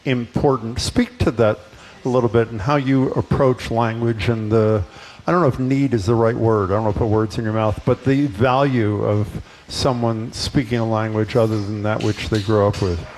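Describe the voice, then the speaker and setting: A man's voice: lowish voice, fairly even intonation, moderate pace, darkish tone, a little hiss or hum, rough recording. A man hosting a broadcast interview with a playful, self-deprecating manner as he introduces his guest. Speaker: show host.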